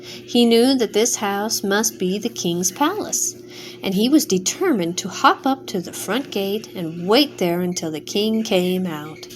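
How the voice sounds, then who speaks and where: Slow older woman reading a bedtime story. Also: mid-range voice, slight background noise. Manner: slow, gentle, expressive. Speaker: grandmother storyteller. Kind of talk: bedtime story read-aloud.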